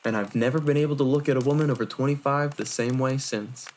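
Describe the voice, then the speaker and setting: A man's voice: medium pitch, fairly even intonation, quick pace, a little hiss or hum, lightly acted. Man playing a smug, theatrical young monk. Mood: spooky, dramatic. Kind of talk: fan audio drama acting, spooky campfire storytelling.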